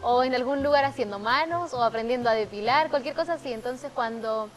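A woman's voice: a little high, brisk, a little hiss or hum. Young woman, casual and chatty. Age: young adult. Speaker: guest, salon partner. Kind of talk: television interview. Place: TV studio living-room set.

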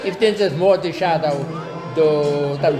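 A woman's voice: fairly low pitch, a little slow, crowd noise. An older woman, casually sharing memories. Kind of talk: casual spoken reminiscence. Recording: music behind the voice. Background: music.

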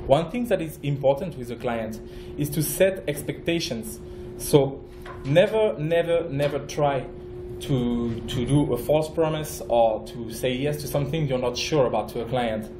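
A man from France with a French accent, giving a conference talk as a project manager, halting, with many fillers and restarts. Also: medium pitch, lively intonation, reverberant, noisy background. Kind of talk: conference presentation. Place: conference room.

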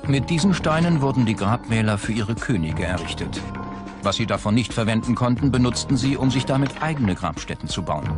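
A man reading scripted documentary narration as the narrator. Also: mid-range voice. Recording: music bed under the voice. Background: background music.

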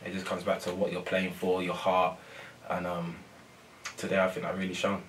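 Low, flat-voiced young footballer. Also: male voice, moderate pace, recorded from a distance. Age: young adult. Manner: soft-spoken, many ums and repeated phrases. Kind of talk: post-match interview. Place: press room before a sponsor board.

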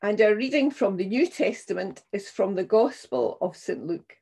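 An older woman minister reading scripture and preaching in a solemn, measured, deliberate way. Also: mid-range voice, expressive, gravelly, warm tone, breathy edge. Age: 60s or older. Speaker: minister leading a church service. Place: small room at home, indoors.

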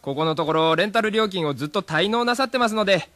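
Brisk high-pitched rental collector. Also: male voice, expressive, fairly bright tone, no echo. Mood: polite, businesslike. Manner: polite, businesslike. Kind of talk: Japanese radio drama acting.

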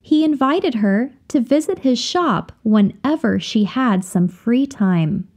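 A woman with a fairly high, mellow voice reads practice sentences at an unhurried pace. Her pitch rises and falls in a lively, animated way. She enunciates carefully, like a teacher setting up drills. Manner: careful, deliberate, each phrase repeated for learners. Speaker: language teacher reading example sentences. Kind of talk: slow, clear drill reading of example phrases.

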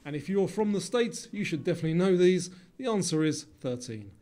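A man reading scripted quiz narration in a measured way, as the narrator posing questions. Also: high-pitched, animated, mellow.

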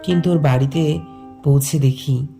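A man's voice: on the high side, fairly even intonation, normal speed, gravelly, warm tone, soft background music. A man playing a lovelorn young villager who tells his tragic love story in a pensive, scripted first-person narration. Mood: pensive, sorrowful.

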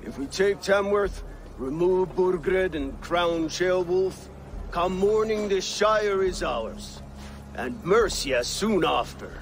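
A proud bearded Viking war leader who lays out plans bluntly. He speaks slowly and in a slightly raised voice, like a man used to giving orders. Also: high-pitched. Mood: commanding. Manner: blunt, commanding. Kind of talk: video game cutscene acting.

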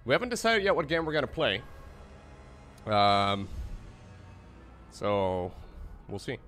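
A man's voice: on the low side, slow pace, soft background music. A young man whose first language is Dutch, hosting a casual livestream chat from a studio mic in a small room at home. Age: young adult. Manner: lively, laughs often. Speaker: streamer host. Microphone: studio mic with headphones.

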